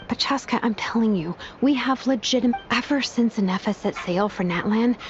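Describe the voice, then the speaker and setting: A woman voicing a cautious, analytical young strategist in a video game, with a thoughtful, deliberate, explanatory delivery. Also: on the high side, lo-fi sound, background noise, natural voice. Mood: earnest.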